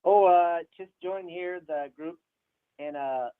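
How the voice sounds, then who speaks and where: A man's voice: high-pitched, slow, clean tone. A man from Chile calling in to a chat show, speaking hesitantly with many fillers, heard over a tinny phone line. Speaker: caller. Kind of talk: casual phone-in conversation.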